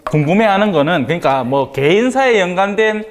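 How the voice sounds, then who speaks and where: Animated Gyeongsang-accented priest in an echoey hall. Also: male voice, sits high, brisk, a little hiss or hum. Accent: Gyeongsang Korean. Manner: jocular, colloquial, rhetorical questions to listeners. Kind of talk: informal religious lecture to a small group. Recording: echoey hall with long reverb.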